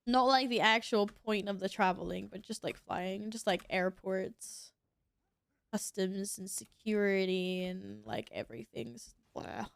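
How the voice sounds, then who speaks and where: A woman's voice: mid-range voice, expressive, a little slow, creaky, smooth, dry recording. A young woman streaming a game, sniffly from sneezing, chatting casually and reacting as she plays. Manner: chatty, reacts to gameplay, reads chat aloud. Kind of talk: casual gaming stream chat.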